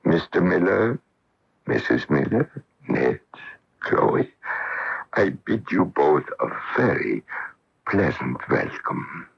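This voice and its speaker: A weary, courtly old genius in a vintage radio play. His voice is very low and gravelly, and he takes his time with every phrase. It comes through a dull, lo-fi AM broadcast. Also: very animated, heavily acted. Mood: serene, weary. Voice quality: gravelly, husky. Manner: slow, courtly, deliberate. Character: weary, gentle old genius, male, godlike welcoming host. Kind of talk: 1970s-80s radio drama acting.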